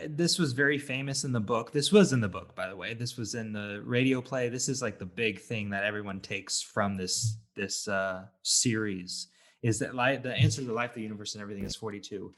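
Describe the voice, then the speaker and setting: A man co-hosting a casual film review podcast, talking haltingly as he searches for words. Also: lowish voice, a bit bright. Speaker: podcast co-host.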